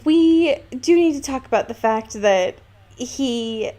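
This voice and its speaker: A woman with a fairly high voice co-hosts a casual podcast about a book. She retells scenes in an amused, conversational way, often dropping into quoted dialogue and padding it with small filler words. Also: animated, takes it slowly, mellow. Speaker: podcast co-host. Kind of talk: casual book discussion chat.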